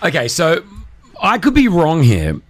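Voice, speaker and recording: A man's voice: on the high side, wildly swooping pitch, measured pace, noisy background. A man hosting a radio show, chatting casually with a co-host and taking listener calls, laughing often.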